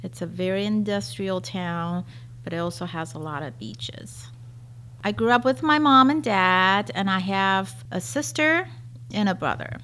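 A middle-aged woman with a Taiwanese accent, telling personal stories in simple, short, clearly phrased sentences. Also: mid-range voice, measured pace, fairly bright tone, slight background noise. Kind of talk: personal storytelling.

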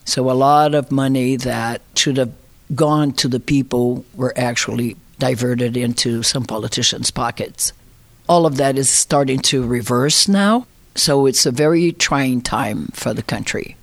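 A woman's voice: extremely low pitch, a little slow, no room sound. Woman, 60s, Brazilian accent. Conversational interview delivery, reflective, recounting personal experience. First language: Portuguese. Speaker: interviewee, immigrant.

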